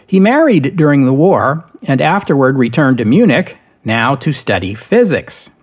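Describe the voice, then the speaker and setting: A man tells history in a measured, steady way, reading from a prepared script at a middling pitch. The recording sounds slightly dull and lo-fi. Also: breathy edge. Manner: measured, steady storytelling delivery. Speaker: history podcast host.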